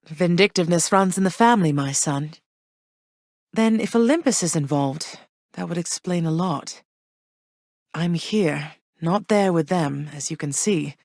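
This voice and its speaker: Regal underworld goddess mother, low-pitched, dry close sound, measured and earnest. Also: no echo. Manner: measured, earnest, formal phrasing. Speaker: voice actor. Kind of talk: game voice lines.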